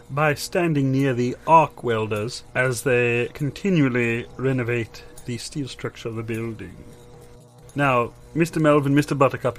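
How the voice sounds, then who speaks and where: A man's voice: mid-range voice, close to an everyday voice. A man playing a polite, patient office interviewer in improvised comedy, formal, placating and apologetic. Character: polite, patient male office interviewer calming anxious job applicants.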